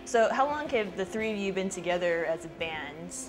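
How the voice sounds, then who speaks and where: A woman's voice: fairly low pitch, expressive, normal speed, over music, poor audio. A woman hosts the interview, asking friendly, upbeat questions. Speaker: interview host. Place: music club.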